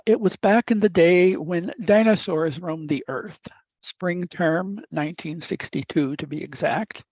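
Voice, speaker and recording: A man in his eighties from the upper Midwest of the United States, whose first language is Italian, telling a story from his life in a measured, deliberate way with frequent "uh" fillers. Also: on the high side, darkish tone, no echo. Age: 80s. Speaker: guest speaker. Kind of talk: reflective storytelling talk. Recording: dull dry lo-fi recording.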